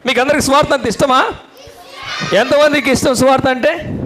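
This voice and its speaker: A man's voice: very high-pitched, steady tone, a little fast, a bit bright, slight background noise, low-quality recording. A man working as a Sunday school puppet show host, talking to children, prompting them questions and repeating the answers.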